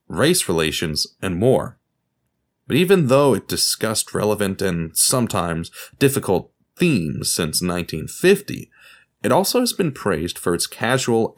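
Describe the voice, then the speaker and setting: Deep voiced guy narrating a history podcast, dramatic emphasis, really lively up and down delivery. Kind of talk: scripted documentary narration.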